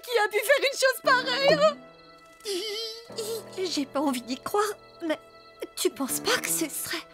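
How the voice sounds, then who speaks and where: A woman's voice: very high voice, very animated, unhurried, exaggerated voice. A woman playing an anxious, dramatic suburban wife in a cartoon. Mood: distraught. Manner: exaggerated, fretful. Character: anxious, dramatic suburban wife who loves her garden. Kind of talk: cartoon dub acting. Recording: music under the voice.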